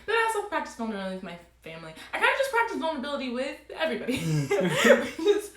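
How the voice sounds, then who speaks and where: A woman hosting a casual live chat, talking in a quick, agreeable way. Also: high voice, huge pitch swings, roomy sound. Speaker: host of a live music show.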